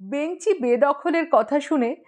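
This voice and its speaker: A woman's voice: high-pitched, expressive, unhurried, darkish tone. A woman narrating a humorous short story as an audiobook reader.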